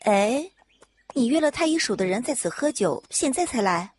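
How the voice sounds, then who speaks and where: A woman's voice: a little high, animated, normal speed, darkish tone, slightly rough. A woman voicing a dry, skeptical young female forensic doctor with curt replies in an audio drama. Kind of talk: multi-cast web audio drama.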